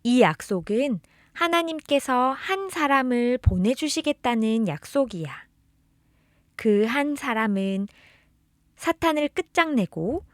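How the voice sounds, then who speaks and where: A woman's voice: fairly high pitch, no echo, clean studio sound. A woman reading a scripted children's religious storybook, expressively addressing the listener as a beloved child. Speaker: storybook narrator reading children's catechism tales.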